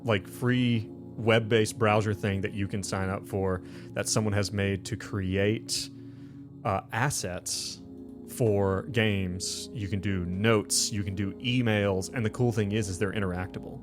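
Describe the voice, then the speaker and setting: Low-voiced man running a game, music behind. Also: steady tone, unhurried. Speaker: game master and show host. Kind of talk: casual narrating and explaining a tabletop game.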